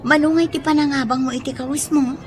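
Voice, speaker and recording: A woman's voice: high-pitched, steady tone, quick pace, darkish tone, a little hiss or hum, close to an everyday voice. An actress playing a nosy, needling young woman in an Ilocano radio drama. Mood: probing. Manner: needling, pointed.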